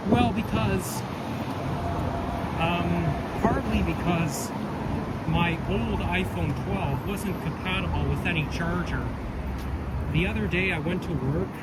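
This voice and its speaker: Slightly nasal man vlogging beside loud traffic. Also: high voice. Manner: chatty, rambling, with fillers. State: stuffy, slightly nasal from a cold. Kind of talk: casual walking vlog. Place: city street outdoors with traffic. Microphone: phone held while walking. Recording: loud traffic noise outdoors.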